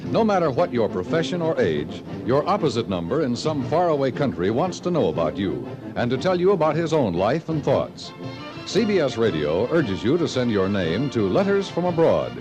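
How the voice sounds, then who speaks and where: A man announcing in formal, polished 1950s radio style on an old broadcast recording. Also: mid-range voice. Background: music.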